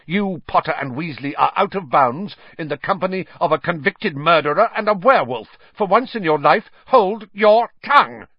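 Guy doing nasty sneering wizard teacher for an audiobook, hissy n menacing, volatile, pitch all over, dry sound. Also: sing-song, a little slow, no room sound, lo-fi sound. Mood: deranged fury. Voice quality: hissing, silky to spitting. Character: sneering, vindictive, fanatical male wizard schoolteacher.

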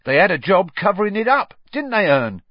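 A man voicing a chatty, excitable young cockney bus conductor, gossipy and dramatic, for a storybook. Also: sits high, fairly quick, no room sound, lo-fi sound, pitched above the performer's own voice. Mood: awed, excitable. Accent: London working-class. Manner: gossipy, dramatic, colloquial, tag questions.

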